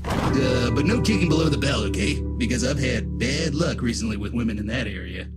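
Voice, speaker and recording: A man's voice: medium pitch, expressive, a little fast, natural voice. A man voicing a cocky, mocking comic-book trickster in a theatrical, wisecracking style. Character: cocky green-headed masked trickster, wisecracking loudmouth. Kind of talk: comic-book dub voice acting. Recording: voice under loud music. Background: loud music.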